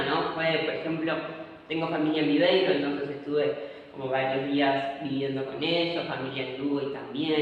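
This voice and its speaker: A woman's voice: low voice, highs cut off. A woman, answering interview questions in a hesitant way, with many fillers and restarts. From Argentina. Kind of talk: casual interview talk. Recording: echoey room, far from mic, faint noise.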